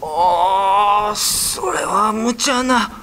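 An actor playing an old, reverent village elder in a radio drama, husky and deliberate, deferential in manner. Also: male voice, high voice, noisy background. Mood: pleading.

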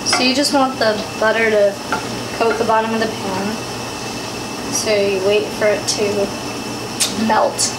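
A woman's voice: a little high, steady tone, measured pace, long pauses. Chatty teen girl in an echoey kitchen. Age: teens. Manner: chatty, explaining step by step, lots of fillers. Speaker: vlogger cooking at home. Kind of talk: casual cooking demo. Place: home kitchen, indoors. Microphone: phone camera, handheld at times. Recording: echoey kitchen, loud background noise.

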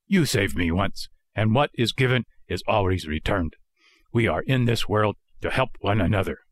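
A man voicing a good-natured, grateful big dog in a storybook, gentle and measured. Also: on the low side, expressive, dry recording. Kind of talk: storybook character voice in audiobook narration.

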